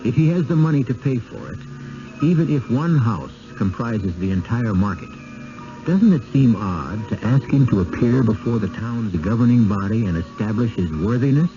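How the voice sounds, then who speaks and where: A man hosting a 1970s radio mystery, reading suspense narration in a measured, formal, dramatic way. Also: medium pitch, expressive, warm tone. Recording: muffled 1970s radio broadcast. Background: music.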